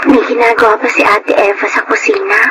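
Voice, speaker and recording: A woman's voice: a little high, fairly even intonation, brisk, over loud music, natural voice. A woman playing a dutiful, eager-to-please adopted orphan girl in a Filipino radio drama, speaking politely and deferentially. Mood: busy, determined. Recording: noisy radio drama track.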